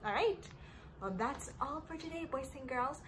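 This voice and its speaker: A woman's voice: sits high, lively intonation, moderate pace, background noise. A woman in her thirties in a sing-song children's story-time style, asking simple questions as she teaches. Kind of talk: children's read-aloud and teaching. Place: living room indoors.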